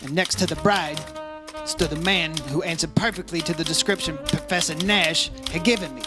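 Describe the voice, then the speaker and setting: A man's voice: fairly high pitch, steady tone, normal speed, close to an everyday voice. A man acts out a hard-boiled male investigator from an old-time radio detective play. He is terse and businesslike, reporting like someone with no time to spare. Music plays behind him. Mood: matter-of-fact narration. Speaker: radio drama actor.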